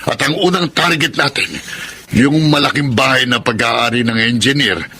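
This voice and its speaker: A man's voice: medium pitch, moderate pace, bright, forceful tone, slight background noise, lightly acted. A man acting a scheming, bossy gang leader in a radio drama, domineering, impatient and cocky.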